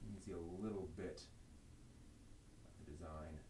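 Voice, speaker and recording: A man's voice: low voice, fairly even intonation, moderate pace, dark tone. A man hosting a casual live show-and-tell stream, rambling and thinking aloud as he handles things. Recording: noisy live stream audio.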